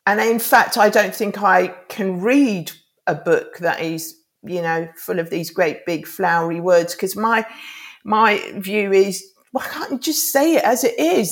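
A middle-aged woman whose first language is Greek speaks as a guest in a relaxed podcast interview. She is earnest and rambling, restarting her thoughts as she goes, at an ordinary pitch. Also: unhurried. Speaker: author and life coach.

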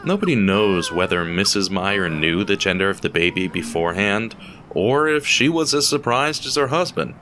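A man reading a scripted story as narrator, in a measured, dramatic way with deliberate pauses. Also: low voice, lively intonation, background noise. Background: music.

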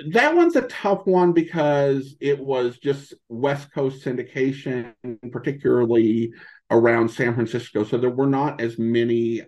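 A man chats as an interview guest on a podcast, recorded with dull, lo-fi sound. His delivery is halting and meandering, often doubling back on phrases, at an ordinary mid-range pitch. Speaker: podcast guest and old-time radio podcaster. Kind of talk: casual interview chat about a hobby.

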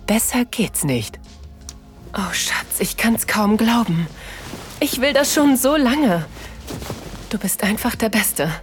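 A woman playing an excited, sensual, playful married woman in an intimate audio drama, breathy and dropping into whispers. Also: a little high, slow, long pauses, soft background music. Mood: dreamy anticipation, then amazed delight. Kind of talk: intimate first-person German audio drama narration.

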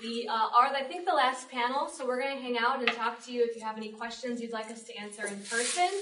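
A woman's voice: on the high side, fairly even intonation, fast talker. A woman hosting a panel, speaking informally to a live audience. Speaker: panel host. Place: live panel in a public library room. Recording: echoey room, distant mic, background noise.

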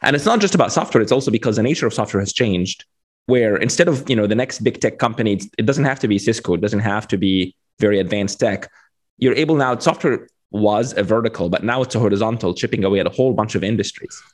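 A man's voice: fairly low pitch, fast. A man, talking casually and explaining his points as a guest in an interview over a video call. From Egypt. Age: 40s. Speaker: interview guest, venture capitalist.

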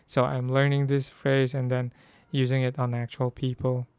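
A young man from the Philippines talks in a hoarse, chatty way, often stopping to restart his sentences. His voice is mid-pitched and smooth under the strain. The sound is close and dry with no room echo. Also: monotone, normal speed, darkish tone. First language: Filipino language. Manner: chatty, self-correcting, reads phrases aloud. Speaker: language learner. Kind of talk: casual vlog about learning Korean.

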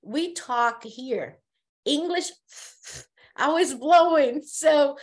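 A woman from Brazil with a Brazilian Portuguese accent, a therapist speaking as a podcast guest, telling her story earnestly with halting restarts. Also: high-pitched. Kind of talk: casual conversation.

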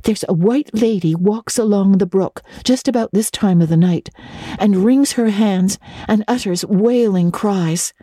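A woman's voice: medium pitch, unhurried, warm tone, no echo, clean studio sound, natural voice. A woman voicing an earnest, romantic young girl in a dramatic storybook reading, gushing and breathless, tripping over eager repetitions. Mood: dramatic, thrilled. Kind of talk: dramatic audiobook reading of classic children's literature.

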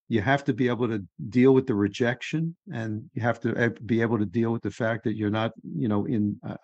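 A man's voice: mid-range voice, a little mellow, no echo, muffled recording. Easygoing male podcast host. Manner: encouraging, easygoing interviewer. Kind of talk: casual interview chat.

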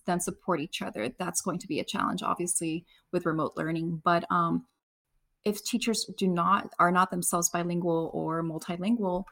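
A woman with a slightly low voice talks as a panelist in an education discussion. She speaks off the cuff, haltingly working through her thoughts as she goes. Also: fairly bright tone. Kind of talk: unscripted discussion.

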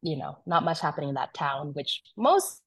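Guest woman from South Sudan, low voice, chatty storyteller, dry close sound. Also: lively intonation, normal speed, a bit bright, husky, dry recording. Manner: chatty, storytelling, many fillers and restarts. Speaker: wine business founder, guest. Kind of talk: casual conversation.

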